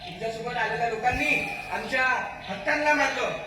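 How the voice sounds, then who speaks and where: A man's voice: very high voice, brisk. A man playing an angry, distrustful forest-tribe leader in an amateur stage play, declaiming accusations and pointed questions. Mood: furious accusing. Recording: echoey hall, far mic, faint noise.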